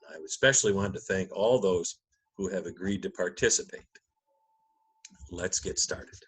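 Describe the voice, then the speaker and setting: A man's voice: low voice, moderate pace, a bit bright, breathy edge, low-quality recording. A man speaking as a pastor, delivering a church message and scripture narration. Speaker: clergyman and narrator of a gospel reading.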